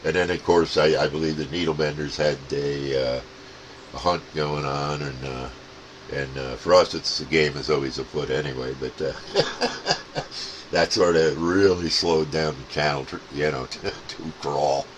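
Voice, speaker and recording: A man chatting casually as a CB radio hobbyist, rambling and halting, with plenty of radio jargon. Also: extremely low pitch, steady tone, a little hiss or hum.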